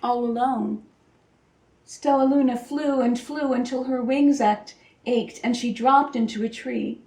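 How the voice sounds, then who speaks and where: A woman reads a children's story with careful expression. Her voice is high and the pace is slow, picked up from a slight distance in a roomy space. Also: fairly even intonation, a little mellow. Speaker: storybook reader. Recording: echoey room, distant microphone.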